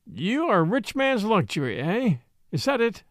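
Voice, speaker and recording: A woman as a sardonic, clipped English gentleman. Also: medium pitch, sing-song, normal speed, no echo, voice pushed up, natural voice. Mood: bitter, demanding. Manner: clipped, dry, mocking. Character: bitter, sardonic English gentleman, charming reckless spendthrift.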